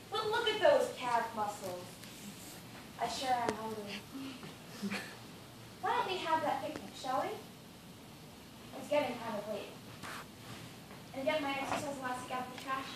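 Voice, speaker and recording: A young woman playing a self-assured, condescending wealthy boss in a stage comedy, speaking in a measured, patronizing way. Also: high-pitched. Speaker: student stage actor. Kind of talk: live one-act comedy stage acting. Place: theatre stage, indoors. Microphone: distant audience camera. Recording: echoey theatre from far off, noisy.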